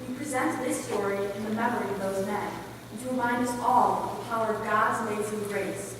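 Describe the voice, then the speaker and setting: A woman's voice: mid-range voice, fairly even intonation, fairly quick, close to an everyday voice. A woman playing a solemn missionary mother who narrates in a scripted readers' theater recital. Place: large indoor recital hall, distant microphone. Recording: echoey hall, distant, clearly noisy.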